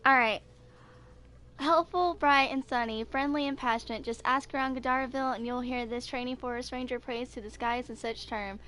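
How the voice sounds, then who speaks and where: Casting: woman, twenties, highish voice, amateur creator casually reading character profiles aloud. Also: steady tone, normal speed, bright, forceful tone. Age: 20s. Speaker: video creator reading game character stories.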